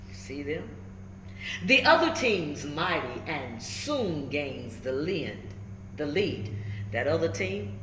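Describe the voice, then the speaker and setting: A woman reads a picture book aloud for children with expressive, swooping intonation. She takes her time and checks in with her listeners. The room is echoey, with faint noise behind her. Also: low-pitched. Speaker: storybook reader. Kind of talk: animated children's read-aloud with asides to listeners. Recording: roomy echo with faint background noise.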